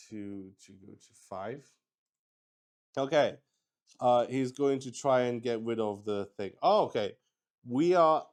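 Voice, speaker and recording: A man improvising narration for a solo tabletop roleplaying game as its host, halting as he thinks aloud. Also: mid-range voice, a little mellow, smooth.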